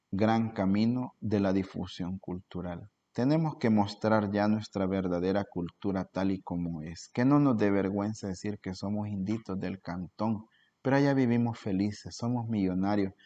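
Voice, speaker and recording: A man with a fairly low voice gives an earnest spoken lecture and keeps asking rhetorical questions. The recording sounds dull and lo-fi. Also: darkish tone. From El Salvador. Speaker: cultural promoter.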